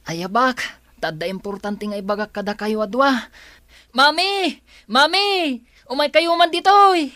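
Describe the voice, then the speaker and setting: Woman playing an earnest, unhurried Filipino schoolboy. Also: high-pitched, bright, forceful tone, no room sound. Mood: urgent, calling. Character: earnest schoolboy son, eager and questioning. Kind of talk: radio drama acting.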